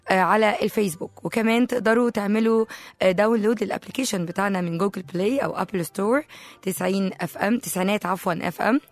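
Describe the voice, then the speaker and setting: A woman with an Egyptian accent and a slightly hoarse voice, chatting playfully and directly to listeners as a live radio host. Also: mid-range voice, dry recording. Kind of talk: casual live radio talk. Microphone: close studio microphone.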